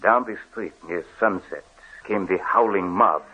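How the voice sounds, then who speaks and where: An actor playing a grave, commanding police officer in an old radio play, questioning and recounting in a measured way. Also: male voice, low-pitched. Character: stern, deliberate male police officer questioning a suspect. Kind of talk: old radio drama acting. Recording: tinny, hissy old radio recording.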